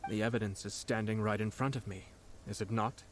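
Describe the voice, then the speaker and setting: A man voicing a calm young noble commissioner in an anime-style game, measured and eloquent, politely condescending. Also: on the low side, a little hiss or hum, natural voice. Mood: calm, pointed.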